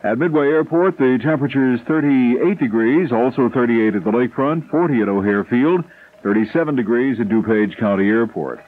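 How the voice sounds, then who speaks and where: A man's voice: mid-range voice, animated, brisk. An American man reading the weather as a local radio news anchor. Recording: noisy lo-fi 1970s radio broadcast.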